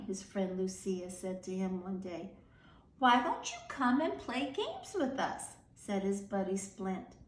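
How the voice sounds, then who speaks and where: A woman reading a children's story aloud expressively, with dramatic emphasis and some hushed phrases. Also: fairly high pitch, echoey room, low-quality recording. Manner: animated, dramatic, dropping into hushed breathy phrases.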